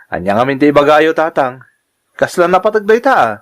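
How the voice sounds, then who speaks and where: A man's voice: fairly high pitch, sing-song, a little fast. A man with an Ilocano accent playing a betrayed young husband in an audio drama, pleading and emotional. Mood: doubting, worried.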